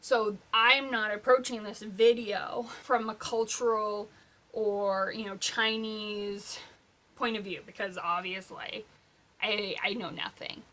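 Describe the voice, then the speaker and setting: A young woman hosting a pop culture vlog, chatting casually in an animated, halting, informal way with emphatic asides. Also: medium pitch. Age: young adult. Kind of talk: casual opinionated vlog chat.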